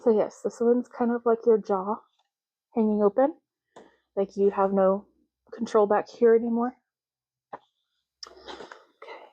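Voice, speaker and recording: A woman speaking softly in an ASMR roleplay, hushed, unhurried and gently explanatory, close to the microphone. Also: medium pitch, flat delivery, dry recording, highs cut off. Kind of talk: soft-spoken ASMR roleplay.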